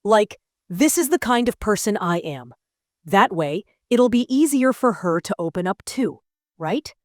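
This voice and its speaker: A woman's voice: mid-range voice, lively intonation, a little slow, darkish tone, no room sound, clean studio sound, close to an everyday voice. A woman playing a confident, teasing teenage girl who talks frankly and playfully to a friend in an anime-style dubbed story. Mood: frank, encouraging.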